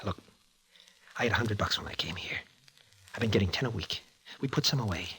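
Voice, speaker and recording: An American actor in 1940s radio drama style, playing a devoted, hot-tempered young racetrack hustler who talks in emotional street slang. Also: male voice, fairly low pitch, expressive, a little fast, sharp tone, husky, heavily acted, poor audio. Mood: tender, apologetic.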